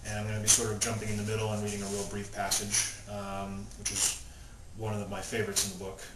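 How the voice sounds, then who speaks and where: A man's voice: lowish voice, completely flat delivery, fast. A male author talking informally and reading his novel aloud in his own plain voice, without putting on character voices. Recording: roomy, distant mic, loud background noise.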